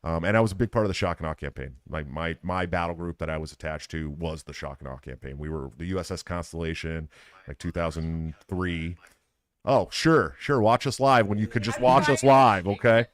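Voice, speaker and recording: A man's voice: deep voice, brisk. An American man hosting a casual live interview, speaking in an earnest, informal way. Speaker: podcast host. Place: live at a political convention.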